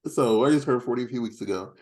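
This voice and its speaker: A man's voice: medium pitch, fast talker, darkish tone. A forty-year-old man chatting casually on a podcast, rambling and poking fun at himself. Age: 40s. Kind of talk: casual chat.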